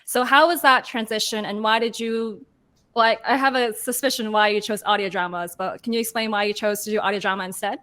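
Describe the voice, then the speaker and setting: A woman's voice: medium pitch, steady tone, brisk, a bit bright. A woman moderating a panel discussion, introducing guests and putting questions to them.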